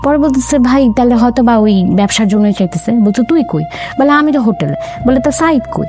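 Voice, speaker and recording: A woman's voice: a little high, lively intonation, a little fast. A young woman in an interview, earnestly recounting her family's story and quoting her parents' words as she goes. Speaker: interview guest. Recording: music bed under the voice.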